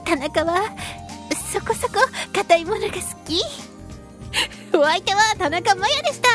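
A woman's voice: very high voice, lively intonation, unhurried, fairly bright tone, soft background music. A woman hosting a radio talk show, reading announcements and signing off in a cutesy, polite way. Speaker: radio show host and voice actress. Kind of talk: Japanese radio talk show sign-off, announcing contact details.

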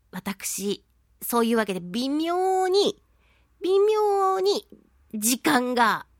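A woman with a fairly high voice hosts a casual solo radio talk. She is expressive and pokes fun at herself, stretching out her exclamations. The sound is dry, with very little room in it. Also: no echo. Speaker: radio talk show host; voice actress and singing event MC.

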